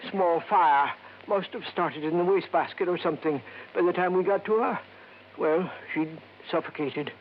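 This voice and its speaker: A man's voice: a little high, moderate pace, natural voice. An actor playing a wizened, folksy, insistent old American man in a wheelchair, in 1950s radio drama style, with clipped, hesitant phrasing. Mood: grave, troubled. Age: older. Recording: dull, noisy old radio recording.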